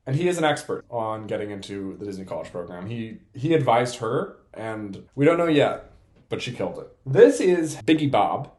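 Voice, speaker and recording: A young man talks to camera in a casual vlog. His pitch swings widely as he goes, excitable and playful, with a self-deprecating streak. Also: normal speed, reverberant. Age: young adult. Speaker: vlogger and college program applicant.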